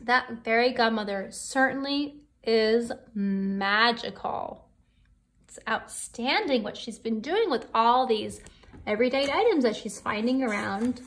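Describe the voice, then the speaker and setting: Higher-voiced American woman teacher reading aloud. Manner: expressive, explanatory, addressing young children. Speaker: elementary school teacher. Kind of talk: children's storybook read-aloud with asides.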